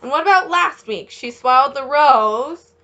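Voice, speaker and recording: A woman reading a picture book aloud as a children's teacher, encouraging young listeners with questions and pausing for their answers. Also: high-pitched, animated, pushed and bright, lo-fi sound.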